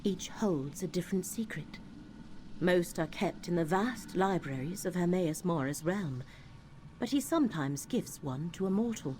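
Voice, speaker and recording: A woman playing a solemn, cryptic seer in a video game, speaking in a measured, formal and earnest way. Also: fairly low pitch, dark tone, a little hiss or hum, close to an everyday voice. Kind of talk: video game character acting.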